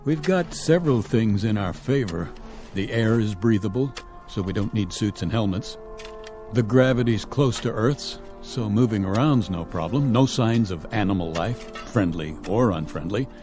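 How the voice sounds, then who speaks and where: A man playing a stern, level-headed colonel commanding a stranded space crew in a radio drama, with a measured, authoritative manner. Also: on the low side, lively intonation, a little mellow, faint music behind, lightly acted. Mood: resolute, matter-of-fact.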